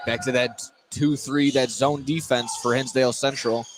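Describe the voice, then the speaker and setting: A man's voice: mid-range voice, fairly even intonation, normal speed. A man doing live play-by-play radio commentary of a basketball game, often repeating and restarting phrases as he follows the action. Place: indoor gymnasium during a basketball game. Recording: noisy live gym broadcast. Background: loud gym ambience, bouncing basketball.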